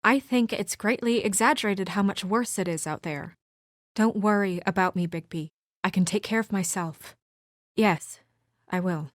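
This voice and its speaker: Casting: actress for guarded young woman, office assistant in a noir game; businesslike, wry-dry delivery, mid-range pitch, very dry studio sound. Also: normal speed, dry recording, lightly acted. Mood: composed, firm. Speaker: video game voice actor. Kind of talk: naturalistic video game drama acting.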